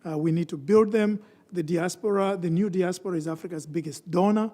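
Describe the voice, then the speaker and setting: Emphatic higher-voiced male panelist. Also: a little fast, mellow. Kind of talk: brief panel response. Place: conference hall.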